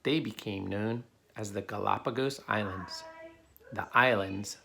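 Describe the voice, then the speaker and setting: A man's voice: lowish voice, unhurried, vocal fry, bright, forceful tone, a little hiss or hum. A man reading a children's picture book aloud as a father would to his child, in a plain storytelling way.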